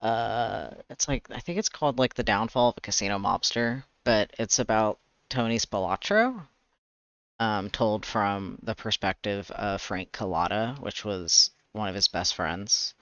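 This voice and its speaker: A man with a slightly raspy voice chatting casually on a livestream, rambling and pausing to search for words. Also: lowish voice, animated, a bit bright, no echo, dull audio. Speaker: streamer, webcomic artist. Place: indoors, livestream.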